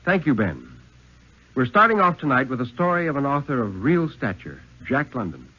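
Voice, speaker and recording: An American man giving a solemn, deliberate dramatic reading of a story in the style of 1950s radio. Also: mid-range voice, breathy edge. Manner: solemn, deliberate, expressive phrasing. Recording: muffled 1950s radio recording.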